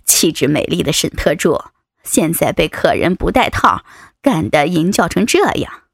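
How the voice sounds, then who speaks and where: A woman voicing a domineering, taunting male vice president in an adult audiobook, with a husky, creaky voice and a mocking, commanding manner. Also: deep voice, sing-song, normal speed, dry recording, clean studio sound, voice pushed down, exaggerated voice. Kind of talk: dramatized adult audiobook dialogue.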